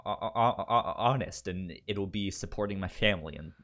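A man's voice: low voice, normal speed, a bit bright. A man co-hosting a podcast in casual chat, often repeating syllables and words as he stammers through a sentence. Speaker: podcast co-host.

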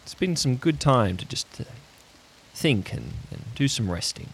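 A man's voice: medium pitch, very animated, normal speed, a little hiss or hum, voice pushed up, poor audio. A man voicing a contented, easygoing fellow who talks in a mild, leisurely, homely way for an improvised audio drama. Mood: contented, sleepy.

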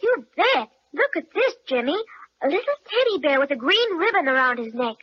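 A woman's voice: high voice, very animated, brisk. A woman playing an eager, bossy little girl in an old-time radio children's serial, with chirpy, emphatic phrasing. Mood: lively, excited. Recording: dull old radio recording.

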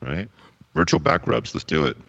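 Deep gravelly man, laid-back co-host. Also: very animated, moderate pace, a bit bright, highs cut off. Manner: laid-back, agreeable, reads chat aloud. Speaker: co-host of a live stream. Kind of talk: casual banter, reading viewer posts.

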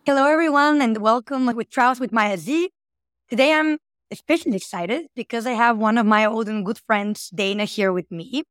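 Upbeat woman from Bulgaria hosting, highish. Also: normal speed, smooth, dry recording, clean studio sound. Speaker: podcast host. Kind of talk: podcast hosting.

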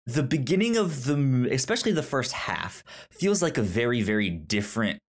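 A podcast co-host chatting casually about a film, haltingly, often repeating words and acting out their own reactions. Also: mid-range voice, big pitch swings. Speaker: podcast co-host reviewing films. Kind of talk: casual chat, film review.

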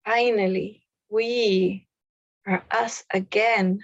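Slow, soothing woman guiding a meditation. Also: medium pitch, steady tone, no echo, dull audio. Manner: slow, soft, with long pauses. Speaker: meditation guide. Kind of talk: guided meditation.

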